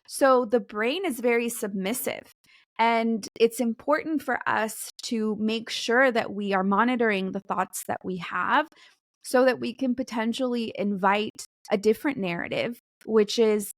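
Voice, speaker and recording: A woman's voice: fairly high pitch, a little slow, dry recording, clean studio sound. A woman in her forties, a podcast guest explaining ideas in an earnest way. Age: 40s. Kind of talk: podcast interview.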